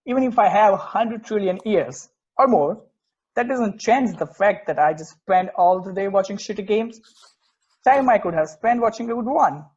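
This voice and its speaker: An earnest, opinionated sports purist played by a man talks in a slightly high voice. The sound is dull and lo-fi. The delivery is a conversational script reading, emphatic, with the odd stumble. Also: animated, normal speed, a little mellow, husky. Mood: earnest, insistent. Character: opinionated purist football fan, earnest and particular. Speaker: amateur actor in a remote table read.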